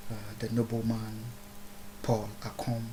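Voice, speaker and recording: A man from Ghana with a Ghanaian accent giving a solemn, formal, oratorical address, halting with long pauses and deliberate repeated phrases. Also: on the low side, completely flat delivery, noisy background. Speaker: speaker recording a video address. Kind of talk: solemn public address, unscripted.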